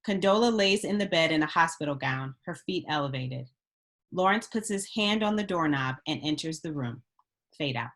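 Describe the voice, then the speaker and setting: Lowish-voiced woman narrator. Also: animated, moderate pace, a bit bright. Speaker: stage directions reader. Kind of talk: scripted narration.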